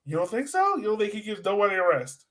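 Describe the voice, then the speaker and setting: A man's voice: sits high, huge pitch swings, fast talker, a bit bright. A man co-hosting a sports podcast, chatting casually in informal, slangy language and often turning to his co-host.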